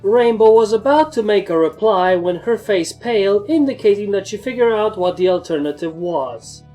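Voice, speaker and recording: A woman narrates a first-person story in a soft, mellow tone at medium pitch. Faint music plays behind her scripted reading. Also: expressive, normal speed.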